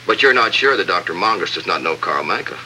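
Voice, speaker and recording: An actor playing a brisk, no-nonsense desert lieutenant, plain-spoken and matter-of-fact, in 1950s radio drama style. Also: male voice, low-pitched, animated, pushed and bright, heavily acted. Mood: doubtful, businesslike. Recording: noisy old radio drama recording.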